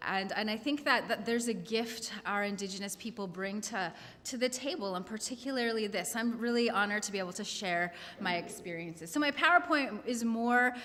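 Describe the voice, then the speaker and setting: A woman from Saskatchewan giving an earnest, measured conference presentation, with some hesitations. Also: mid-range voice, sharp tone, slight background noise. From Saskatchewan, Canada. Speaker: conference panelist. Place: conference hall. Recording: long hall reverb.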